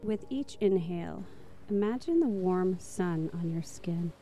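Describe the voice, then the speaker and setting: Very dark, mellow woman guiding meditation. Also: on the low side, animated, faint music behind. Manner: soft, slow, soothing. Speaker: meditation guide. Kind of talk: guided meditation narration.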